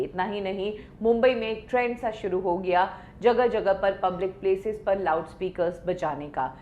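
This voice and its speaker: An Indian woman narrates a scripted news explainer at a medium pitch. She tells it like a story, pressing on key points. A low rumbling noise sits faintly in the recording.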